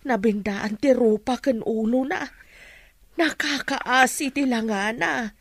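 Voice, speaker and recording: A woman's voice: fairly high pitch, slow pace, dry recording, clean studio sound. A woman playing a concerned mother of a grown son in a radio drama, sympathetic and exclaiming. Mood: sad, worried. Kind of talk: melodramatic radio drama acting.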